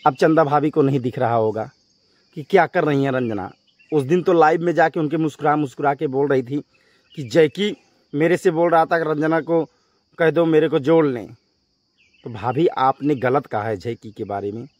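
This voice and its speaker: A male vlogger with a fairly high voice delivers a confrontational rant straight to his viewers. He scolds with emphasis and repeats his phrases. The sound is dry, with very little room on it. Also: moderate pace, darkish tone, no room sound. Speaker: YouTube vlogger addressing viewers.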